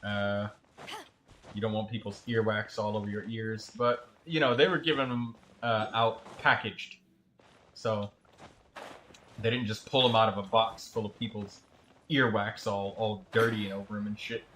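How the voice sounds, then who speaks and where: A man chatting casually as a game streamer, telling a story in a rambling, halting way. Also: on the low side, little pitch movement, fairly bright tone, slight background noise. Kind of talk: casual livestream chat.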